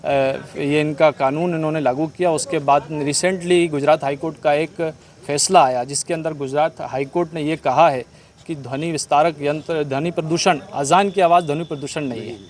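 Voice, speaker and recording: A man's voice: a little high, fairly even intonation, normal speed. A man giving a spontaneous interview statement, explaining and arguing his side as a petitioner, mixing in English terms.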